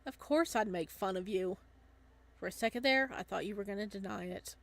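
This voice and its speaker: A woman's voice: mid-range voice, brisk, lightly acted. A woman voicing a casual, teasing but loyal young male best friend in a visual novel. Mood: teasing, supportive. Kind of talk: visual novel dialogue reading.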